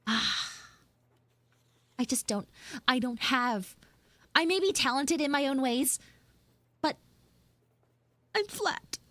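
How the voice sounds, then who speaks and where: A woman's voice: sits high, expressive, slow pace, pauses often, fairly bright tone, dry recording. A woman chatting in character on a livestream as a flustered tomboy tsundere schoolgirl, with dramatic, whiny exasperation. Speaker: VTuber livestreamer doing audio roleplay. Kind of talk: improvised in-character livestream chat.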